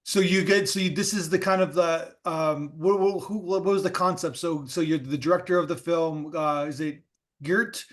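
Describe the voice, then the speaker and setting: A man's voice: on the high side, steady tone, normal speed. A man hosting a podcast, asking long, loose questions full of restarts and casual chat. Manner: rambling questions with many restarts. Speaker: podcast host, interviewer.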